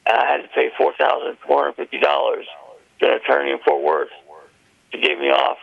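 A man tells a long, wandering story as a guest. His voice is very gravelly and husky. It comes through a muffled phone line. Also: medium pitch, big pitch swings, brisk. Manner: rambling storytelling.

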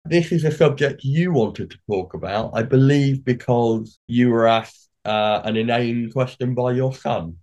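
A man's voice: medium pitch, measured pace, few pauses, darkish tone. A man hosting a podcast who sounds congested and snuffly, moving from a polished, scripted intro into easygoing conversation. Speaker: podcast host.

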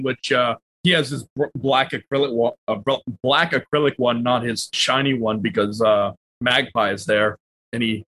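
A man playing a tabletop roleplaying game, casually narrating his character's actions in a halting, self-correcting way. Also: medium pitch, a bit bright.

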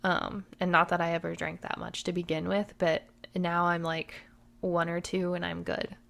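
A woman co-hosting a podcast, chatting in a relaxed, agreeable way and laughing easily. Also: lowish voice, normal speed, gravelly. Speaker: podcast co-host. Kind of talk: casual chat.

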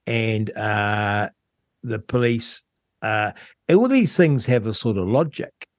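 A man with a New Zealand accent talking in a casual radio discussion as host, with a hesitant, halting delivery. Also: lowish voice, lively intonation, no echo, clean studio sound. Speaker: talk show host.

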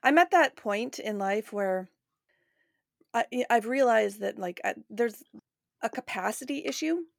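A woman's voice: a little high, expressive, a little slow, creaky, dry recording, studio quality. A woman hosting a podcast in a casual, chatty interview style. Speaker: podcast host.

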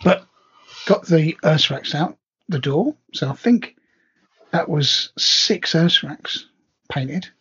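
A man co-hosting a podcast, talking in a relaxed, hesitant way with many ums and a very raspy voice. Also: on the high side, slow, pauses often. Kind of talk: casual hobby chat. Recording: dull lo-fi audio.

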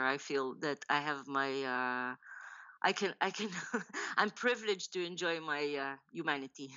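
Low-voiced woman in her forties from Israel, thoughtful, restart-prone storyteller on a muffled line. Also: bright, forceful tone. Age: 40s. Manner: thoughtful, halting, many restarts and fillers, laughs often. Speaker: novelist guest. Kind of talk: podcast interview, personal storytelling.

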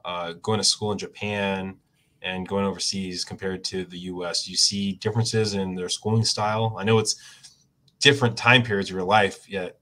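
A man with a somewhat low voice hosts a casual interview. He stops and restarts his questions and drops in short "mm-hmm" replies. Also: little pitch movement, pushed and bright, slight background noise. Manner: halting questions with restarts, brief backchannels. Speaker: interview host.

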